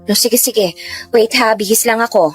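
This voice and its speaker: A woman's voice: a little high, moderate pace, breathy edge, no echo, soft background music. A woman playing a teasing middle-aged mother with a Filipino accent, in colloquial radio drama style. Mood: teasing concern. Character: middle-aged mother, teasing, secretly rivaling her daughter.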